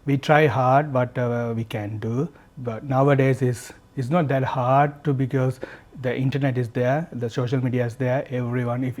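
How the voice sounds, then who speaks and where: A man's voice: medium pitch, darkish tone. Earnest Sri Lankan man, podcast guest. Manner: earnest, repeats and restarts phrases. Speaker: guest, candle business founder. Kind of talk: podcast interview, casual.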